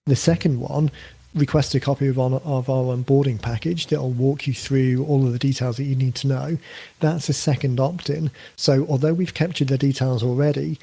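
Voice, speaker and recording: A man's voice: mid-range voice, vocal fry, dark tone. Man talking through marketing steps. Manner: explanatory, thinks aloud with frequent fillers and restarts. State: voice getting a bit croaky. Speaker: podcast host, book marketing coach. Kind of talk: casual podcast conversation.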